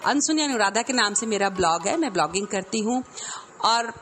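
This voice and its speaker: A woman's voice: medium pitch, expressive, a little fast, a bit bright, a little hiss or hum. A woman, a radio presenter and teacher, giving a self-introduction to listeners.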